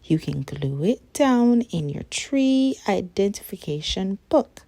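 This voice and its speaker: A woman reading a children's nonfiction picture book aloud in a measured, expressive way. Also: medium pitch, dry recording.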